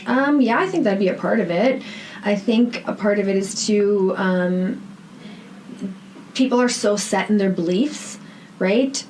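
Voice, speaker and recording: A woman's voice: medium pitch, unhurried, a little mellow, poor audio. A woman with a Canadian accent answering interview questions conversationally, earnest and emphatic, often checking points with "right?". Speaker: podcast guest, founder of a rehab centre. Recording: noticeable background noise.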